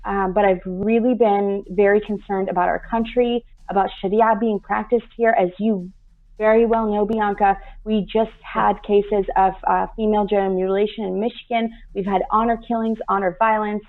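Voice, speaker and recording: Earnest woman guest, slightly gravelly. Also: mid-range voice. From United States. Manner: earnest, steady, with frequent "um" pauses. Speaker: guest activist. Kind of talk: personal account in an interview. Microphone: video call. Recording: tinny phone-like line.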